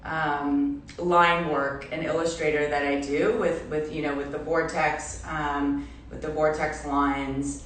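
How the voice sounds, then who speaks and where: A woman from Belgium in her forties chatting casually on a livestream as an artist, with a halting manner and trailing restarts. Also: low voice, a little hiss or hum. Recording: echoey room, distant mic, music behind.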